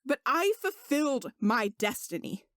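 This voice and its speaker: A woman's voice: sits high, very animated, unhurried, a bit bright, a little hiss or hum, exaggerated voice. A woman voicing an indignant young male servant who is secretly a sorcerer, speaking earnestly and insistently in a story reading. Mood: pleading, hurt.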